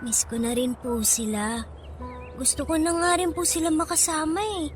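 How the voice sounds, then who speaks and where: A woman's voice: high voice, expressive, normal speed, soft background music. A woman playing a small, innocent, pleading boy in a Tagalog radio drama, with a plaintive, polite, childlike manner. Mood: innocent, curious. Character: small hungry boy, innocent, pleading, loyal to his mother.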